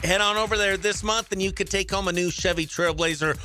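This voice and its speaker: A man's voice: high-pitched, fairly even intonation, a little fast, sharp tone, faint music behind. A man hosting casual morning radio chat in an upbeat, effusive, chatty manner. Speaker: radio host; stand-up comedian. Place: radio studio.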